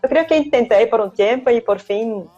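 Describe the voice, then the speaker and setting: A woman's voice: on the high side, normal speed, dark tone. A middle-aged Brazilian woman, a Spanish teacher speaking Spanish with a Brazilian accent, who thinks aloud with many hesitations and tag questions. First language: Portuguese. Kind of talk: casual chat on a live stream. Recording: dull lo-fi stream audio, faint music.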